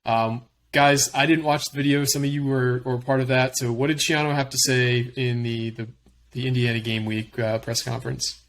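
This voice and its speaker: A man's voice: mid-range voice, little pitch movement, moderate pace, fairly bright tone. A man hosts a casual sports podcast, leading the chat and ribbing his co-hosts. He keeps the talk loose, jokey and teasing. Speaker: podcast host.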